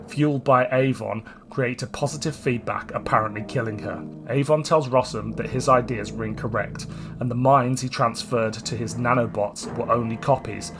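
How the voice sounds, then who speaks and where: Man hosting a podcast over music. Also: mid-range voice. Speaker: podcast host. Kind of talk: scripted plot synopsis and casual podcast chat.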